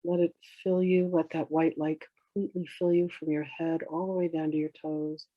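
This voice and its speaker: A meditation teacher guiding in a soothing, unhurried way, with gentle instructions in drawn-out phrases. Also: mid-range voice, level tone, no room sound, phone-quality sound. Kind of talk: guided meditation, slow instruction.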